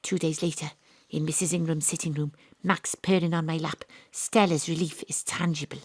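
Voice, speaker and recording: A woman's voice: deep voice, fairly even intonation, normal speed, husky, no echo. An actress playing a wry, self-regarding detective, telling her own story in an audio drama. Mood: excited. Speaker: actor narrating an audio drama. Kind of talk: first-person dramatic narration.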